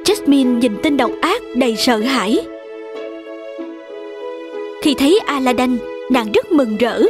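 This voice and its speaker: A woman's voice: high voice, animated, slow pace, music in the background. A woman narrating a children's fairy tale from a script.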